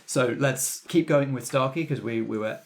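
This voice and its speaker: A man's voice: mid-range voice, normal speed. A man running a tabletop game as game master, explaining things casually and guiding players with questions.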